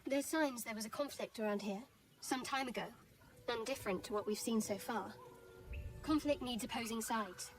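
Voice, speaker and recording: An actress playing a secretive young adventurer in a video game, with a dry, measured, cagey manner. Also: female voice, fairly high pitch, recorded from a distance, slight background noise, lightly acted. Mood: wary, intrigued. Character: guarded, wry, secretive young woman adventurer. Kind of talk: video game character acting.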